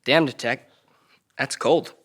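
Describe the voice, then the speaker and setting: A man's voice: medium pitch, slow pace, fairly bright tone. A man plays a young male suspect under questioning in an audio drama read-through. He is guarded and defiant. His speech is curt, slangy and defensive. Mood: sullen.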